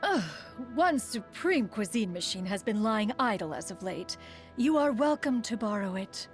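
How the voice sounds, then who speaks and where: A woman's voice: mid-range voice, very animated, moderate pace, soft background music, close to an everyday voice. A woman voicing a refined, aloof inventor in a video game, with formal, haughty, old-fashioned diction and a proud but doting temper. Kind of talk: scripted video game character lines.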